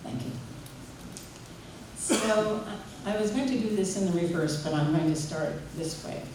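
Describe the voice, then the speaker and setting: A woman's voice: on the low side, normal speed, background noise. Woman speaking from far off in an echoey hall. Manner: warm, chatty, leads group singing. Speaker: memorial speaker and singer. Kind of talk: heartfelt spoken tribute. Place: memorial gathering in a large room.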